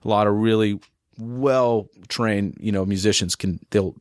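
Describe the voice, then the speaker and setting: A man's voice: lowish voice, steady tone, a little slow, dry recording. Young male musician guest, loose rambly chat, dry close mic. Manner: rambling, fillers like "you know" and "man". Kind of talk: casual interview chat.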